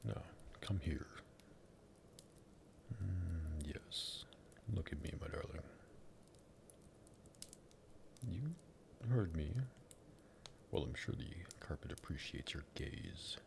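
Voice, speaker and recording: A man playing a sadistic, possessive vampire master in an ASMR roleplay, teasing and politely menacing, with a breathy, gravelly voice. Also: extremely low pitch, slow, pauses often, exaggerated voice.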